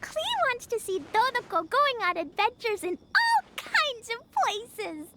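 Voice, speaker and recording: A woman's voice: extremely high pitch, huge pitch swings, unhurried, very noisy, exaggerated voice. A woman voicing a sweet, eager little girl in a video game, with a cutesy childlike voice and a bubbly, earnest delivery. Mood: excited. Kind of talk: animated video game voice acting.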